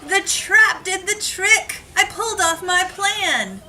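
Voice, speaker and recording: A woman teacher giving an animated, expressive children's read-aloud, pausing to explain things, recorded from a distance in a classroom. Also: very high voice. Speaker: elementary school teacher reading aloud. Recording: noisy classroom, distant mic.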